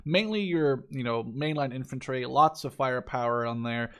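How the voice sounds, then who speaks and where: A man's voice: medium pitch, moderate pace, fairly bright tone, clear, resonant tone. A man in his twenties giving casual, unscripted game commentary, rambling and thinking aloud with enthusiasm. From Canada or the Netherlands. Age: 20s. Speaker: gaming video creator.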